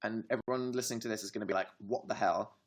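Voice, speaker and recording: A man's voice: on the low side, fairly even intonation, rapid-fire. A man co-hosting a casual livestream, talking in a dry, teasing, sarcastic way. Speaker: co-host.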